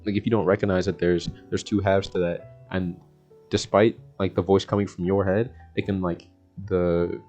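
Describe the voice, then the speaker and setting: Very low-voiced young American man, casual and slangy. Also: level tone, normal speed, darkish tone, faint music behind. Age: young adult. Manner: slangy, laid-back, rambling, often chuckling. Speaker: vlogger. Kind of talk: casual self-help monologue.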